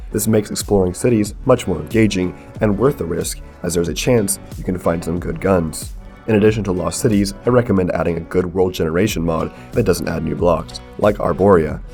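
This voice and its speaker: A man narrating a scripted gaming tutorial in a measured, explanatory way, as a video creator. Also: low-pitched, darkish tone. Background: background music.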